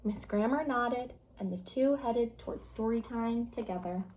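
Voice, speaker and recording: Woman doing storytime read aloud, higher voice, expressive and dramatic for kids. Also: moderate pace, slight background noise, poor audio. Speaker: children's storybook reader.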